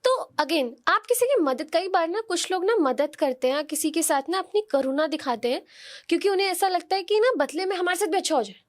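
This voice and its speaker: Woman, notably high voice. Conversational oracle-reader, instructive, speaks directly to the listener. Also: lively intonation, brisk. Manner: instructive, addresses listeners directly, uses rhetorical questions and tag questions. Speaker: oracle card reader, podcast guest giving horoscope guidance. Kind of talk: conversational spiritual guidance.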